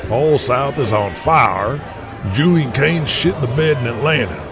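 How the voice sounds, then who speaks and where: A man's voice: medium pitch, animated, measured pace. Man acting a swaggering, folksy old wrestling boss. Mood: gruff, boozy boasting. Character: folksy, foul-mouthed older male wrestling boss rallying his men. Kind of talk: audio drama acting. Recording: music behind the voice.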